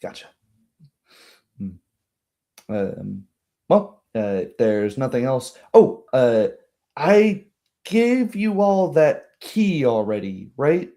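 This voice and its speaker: A man's voice: mid-range voice, big pitch swings, slow pace, pauses often, dark tone, pitched above the performer's own voice. A man voicing a forgetful old female gnome who rambles hesitantly and apologizes in a tabletop game. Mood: flustered, apologetic. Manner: hesitant, rambling, self-deprecating.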